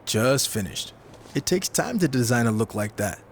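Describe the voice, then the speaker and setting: A cocky young man played by a male actor, a wrestling rookie in a game story, speaks with sardonic self-assurance at a middling pitch. There is clear background noise under the voice. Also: expressive, normal speed, natural voice. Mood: casual. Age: young adult. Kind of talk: video game cutscene voice acting.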